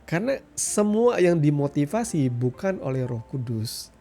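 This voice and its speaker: A man giving an informal sermon as a devotional podcast host, explaining conversationally with frequent check-in tags and pauses for emphasis. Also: on the high side, sing-song, gravelly, dark tone. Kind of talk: informal sermon, Bible reflection.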